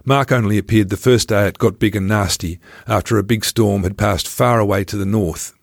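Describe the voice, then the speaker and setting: A man's voice: lowish voice, fairly even intonation, normal speed, vocal fry, dry recording, clean studio sound. A man reading his own travel memoir aloud as scripted first-person narration.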